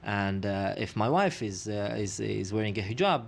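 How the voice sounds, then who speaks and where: Halting low-voiced man. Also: animated, gravelly. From Israel. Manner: halting, many restarts and fillers. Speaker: studio guest. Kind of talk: studio discussion.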